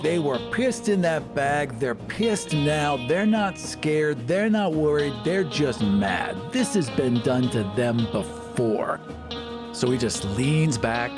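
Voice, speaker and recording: A man playing a tabletop game, improvising a character description in deliberate, short, emphatic phrases. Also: medium pitch. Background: music with guitar.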